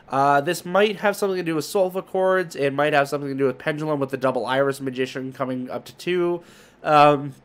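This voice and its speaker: A man hosting a market video, giving casual, rambling commentary and thinking aloud as he goes. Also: on the high side. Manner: rambling, thinking aloud while browsing listings. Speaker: host of a trading card market video. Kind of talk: casual screen-share commentary.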